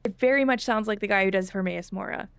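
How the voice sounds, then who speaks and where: A woman's voice: mid-range voice, quick pace, creaky, clean tone, no echo. A woman streaming a game, chatting casually and thinking aloud as she plays. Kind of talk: casual livestream chat while playing.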